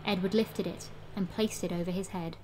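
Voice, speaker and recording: An actress playing a storyteller narrating a rhyming Christmas audio tale. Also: female voice, lowish voice, fairly even intonation, moderate pace, slight background noise, close to an everyday voice, poor audio. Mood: storytelling. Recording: loud music under the voice.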